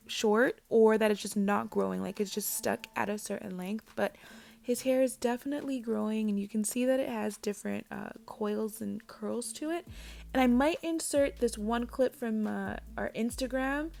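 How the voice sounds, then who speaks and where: Raspy mom doing a chatty hair tutorial voiceover, gravelly and a bit high. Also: female voice, darkish tone. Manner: chatty, friendly, talks straight to viewers. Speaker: parent vlogger. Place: home.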